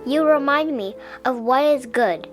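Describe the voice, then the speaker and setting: High-voiced woman reading a children's storybook. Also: measured pace, faint music behind. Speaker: picture-book reader. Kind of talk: children's storybook read-aloud.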